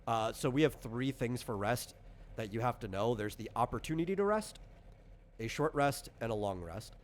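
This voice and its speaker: A man's voice: mid-range voice, animated, moderate pace, slight background noise. A man casually explaining tabletop game rules as designer and game master, talking informally with examples.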